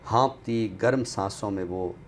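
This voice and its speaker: A man reciting poetry on a literary show in a deliberate, expressive way, returning to refrains. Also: lowish voice. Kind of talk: dramatic Urdu poetry recitation, then book talk. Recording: clearly noisy background ambience.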